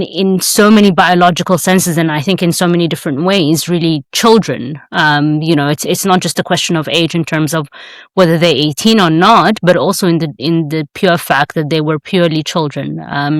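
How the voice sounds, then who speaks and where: A woman's voice: fairly low pitch, fairly even intonation, normal speed, dry recording, clean studio sound. A woman from Sudan, co-hosting a podcast, talking in a conversational, hesitant way with many fillers. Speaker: guest co-host.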